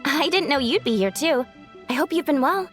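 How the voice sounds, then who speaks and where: Polite dream-eating yokai girl, high lively voice. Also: moderate pace, loud music behind the voice. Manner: polite, warm and earnest. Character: gentle dream-eating yokai in young woman form. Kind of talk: video game voice acting.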